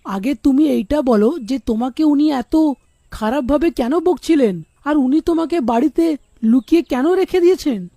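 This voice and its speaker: A woman's voice: high voice, expressive, moderate pace, mellow, dry recording, clean studio sound. A woman playing a sensible, concerned young male student in a children's cartoon, in an earnest, questioning manner.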